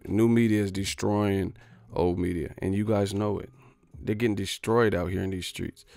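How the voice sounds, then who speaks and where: A man's voice: deep voice, fairly even intonation, normal speed, creaky, a little mellow, dry recording. A man ranting on a livestream in a boastful, profane, streetwise way. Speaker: boxing YouTuber. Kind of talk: livestream rant.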